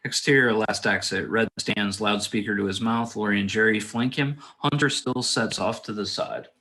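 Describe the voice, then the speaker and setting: A man's voice: fairly low pitch, normal speed, sharp tone. A man narrating stage directions from a script at a table read.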